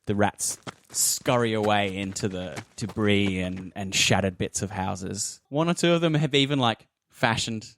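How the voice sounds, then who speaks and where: A man's voice: fairly low pitch, measured pace, fairly bright tone, no room sound. A man improvising narration as game master of a tabletop roleplaying game, often restating his phrases as he goes. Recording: music behind the voice.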